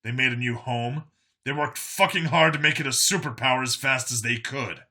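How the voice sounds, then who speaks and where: A man plays a tough enforcer in a story, speaking at a middle pitch with a very bright, forceful edge. He explains himself earnestly and bluntly. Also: normal speed. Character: Vincent, earnest tough human enforcer, reformed bigot.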